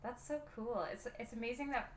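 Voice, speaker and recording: A woman's voice: a little high, brisk, roomy sound, a little hiss or hum. A woman radio host chatting enthusiastically with her guests, recorded inside a small trailer. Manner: bubbly, enthusiastic, many likes. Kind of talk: casual interview chat. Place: small trailer on an outdoor theatre site.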